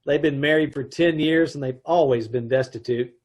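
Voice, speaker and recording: A man's voice: medium pitch, normal speed. A man narrating and playing a dry, joking husband in an old-time radio comedy recreation. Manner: dry wry delivery with chuckles. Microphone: home mic on video call.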